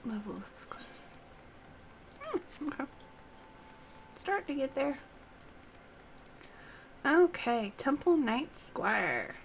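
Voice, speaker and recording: A woman's voice: high-pitched, big pitch swings, slow, pauses often, a little hiss or hum. A woman live-streaming a game, reading quest dialogue aloud and talking to herself as she plays. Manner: talks to herself while playing.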